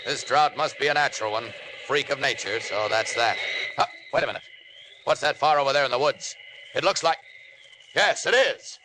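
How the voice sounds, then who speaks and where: A man's voice: mid-range voice, moderate pace, very noisy, natural voice. An actor playing a bold, heroic male superhero in a 1940s radio serial, declaiming as he thinks aloud. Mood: musing. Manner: declamatory, exclamatory, talking to himself. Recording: old radio recording, music underneath.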